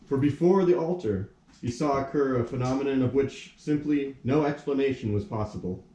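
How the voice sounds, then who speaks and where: A man's voice: mid-range voice, moderate pace, dark tone. A man reading scripted storybook narration for a puppet play. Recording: roomy echo, faint noise.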